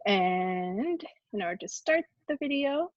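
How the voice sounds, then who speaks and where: A woman's voice: medium pitch, lively intonation, slow, no room sound. A woman from Japan whose first language is Japanese, with an American accent, giving a friendly online talk as a university ombudsperson, with plenty of ums. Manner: friendly, chatty, with many ums. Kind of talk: online presentation. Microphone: video call. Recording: dull lo-fi video-call audio.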